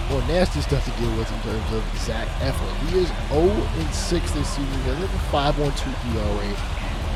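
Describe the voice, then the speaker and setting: Nasal man calling a baseball game. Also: mid-range voice. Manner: laid-back, chatty play-by-play with asides. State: nasal from a summer cold. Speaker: gaming channel host. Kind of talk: casual game commentary. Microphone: studio mic on a boom arm. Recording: game music underneath.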